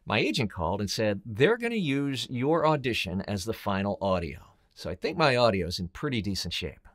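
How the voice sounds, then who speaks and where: A man's voice: on the low side, very animated, brisk, a bit bright. A middle-aged man hosting a scripted advice video to camera, speaking in a blunt, emphatic, colloquial way. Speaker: host, voiceover coach and voice actor.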